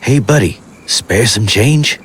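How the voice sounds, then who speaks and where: An actor playing a creepy, taunting street beggar with a raspy voice in an audio drama. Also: male voice, medium pitch, slow pace, slight background noise, close to an everyday voice. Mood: wheedling.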